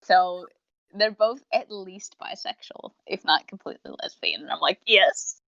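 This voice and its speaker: A woman's voice: mid-range voice, measured pace, vocal fry, no echo. A talkative young woman co-hosting a casual fan discussion, rambling along and acting out quotes as she goes. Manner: talkative, rambling, animated retelling with acted-out quotes. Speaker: podcast co-host and reviewer. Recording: dull lo-fi voice recording.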